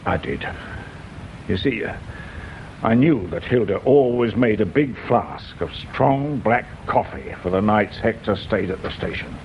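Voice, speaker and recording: A man's voice: fairly low pitch, lively intonation, measured pace. An actor playing an indignant, guarded older English father in a radio mystery drama, blustering and hesitant when pressed. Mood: grave. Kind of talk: British radio drama acting. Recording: dull radio recording, noticeable background noise.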